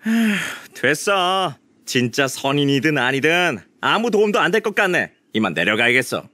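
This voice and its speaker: A whiny, high-pitched pushy villager. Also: male voice, very animated, moderate pace, pushed and bright, no echo, full character voice. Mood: scornful, annoyed. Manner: whiny, theatrical, flips from fawning to dismissive. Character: pushy, rude young male villager seeking blessings. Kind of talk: video game voice acting. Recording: music behind the voice.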